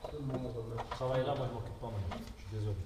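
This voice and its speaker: Man doing a dragged-out, low, apologetic voice; loud rasping noise, echoey room. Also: fairly even intonation, moderate pace, close to an everyday voice.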